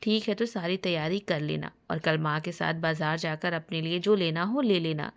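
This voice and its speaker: A woman's voice: deep voice, normal speed. A woman voicing a caring middle-aged father, respectful and a little unsure, in a family story. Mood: respectful, considerate.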